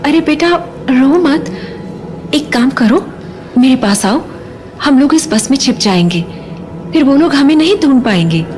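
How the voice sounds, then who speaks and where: A woman's voice: high voice, lively intonation, a little slow, pauses often, a little hiss or hum. A woman playing a coaxing, pleading mother in a horror audio drama. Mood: soothing. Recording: loud music under the voice.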